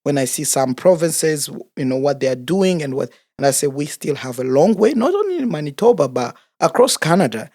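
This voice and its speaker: A man's voice: fairly high pitch, big pitch swings, a little mellow, no room sound, studio quality. A man in his thirties, originally from Congo, speaking as a soccer coach and organizer in a casual interview, telling his story earnestly and without hurry. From Democratic Republic of the Congo. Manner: earnest, unhurried storytelling with fillers.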